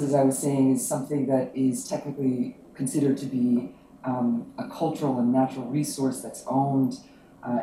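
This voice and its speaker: A woman with a low voice giving an academic presentation, soft-spoken with many ums as she tries to project. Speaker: academic panelist. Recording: roomy, distant mic, some noise.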